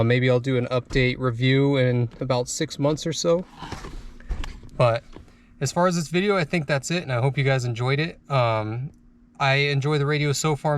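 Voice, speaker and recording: A young man talks his viewers through a truck radio install in a relaxed, chatty way, often pausing on "uh". His voice sits at an ordinary middle pitch and is picked up by a camera mic that drifts nearer and farther. Faint background noise sits under it. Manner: relaxed and chatty, many "uh" pauses. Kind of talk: casual how-to vlog narration.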